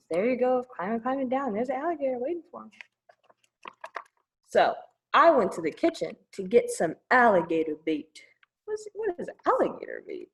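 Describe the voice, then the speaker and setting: A woman hosting a children's storytime, reading aloud playfully with casual, exclamatory asides. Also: high voice. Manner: playful, exclamatory, commenting as she reads. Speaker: children's librarian storytime host.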